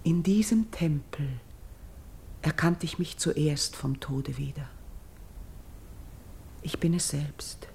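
Solemn, noble Greek priestess. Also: female voice, low voice, gravelly, a little mellow, slight background noise, exaggerated voice. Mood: hushed, awed. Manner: solemn, measured, elevated declamation. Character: noble Greek king's daughter turned priestess, earnest and steadfast. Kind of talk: classical verse radio drama acting.

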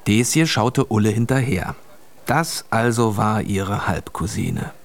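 A man's voice: lowish voice, expressive, slow pace, creaky. A man narrating a scripted children's story as the narrator of a radio play. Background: music.

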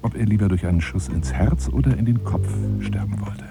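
A deep-voiced, lonely romantic braggart. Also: male voice, a little fast, creaky, music in the background. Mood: storytelling, grave. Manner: florid, theatrical, self-dramatizing, shifting into hushed asides. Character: lonely, eccentric romantic braggart wooing a woman by telephone. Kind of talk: dramatic monologue in a radio play.

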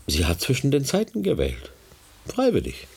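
An actor in a German science-fiction radio play, playing a cool, smug, condescending scientist with a clinical manner. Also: male voice, mid-range voice, huge pitch swings, normal speed, gravelly, a little mellow, slightly rough, full character voice. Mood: smug, composed.

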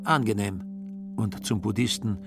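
A man narrating a literary radio play with dry irony in a slightly husky voice. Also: on the low side, measured pace, music in the background. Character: dry, ironic male storyteller of a literary tale.

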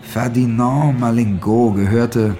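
An actor playing a domineering narrator of a seedy city in a literary radio drama, with a commanding, declamatory delivery. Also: male voice, medium pitch, fairly even intonation, slow pace, mellow, lightly acted. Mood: storytelling. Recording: music behind the voice. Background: music.

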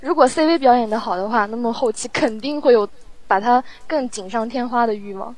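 Female audio editor, higher register, relaxed casual chat with a playful streak. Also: a little fast, dry recording. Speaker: audio drama post-production sound editor.

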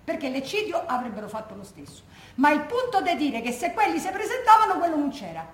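In a roomy, slightly noisy stage recording, an insistent Roman woman with a lively, swooping voice. She reasons aloud in colloquial Roman speech, like a daughter who has argued this many times. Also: high voice, fairly quick. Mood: argumentative, doubting. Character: plain-spoken Roman woman, daughter of a massacre victim, argumentative. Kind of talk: stage monologue from oral testimony.

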